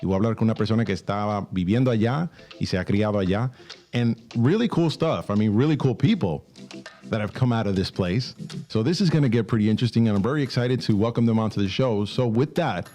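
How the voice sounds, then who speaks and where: A man hosts a radio talk show from the studio, his voice low and warm. He bounces between English and Spanish in an upbeat, casual way, with faint music sitting beneath him. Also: quick pace.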